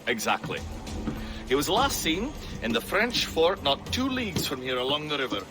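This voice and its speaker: A man's voice: on the high side, big pitch swings, normal speed, music in the background. A man playing a courteous, formal British naval captain in a video game. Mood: businesslike. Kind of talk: video game cutscene acting.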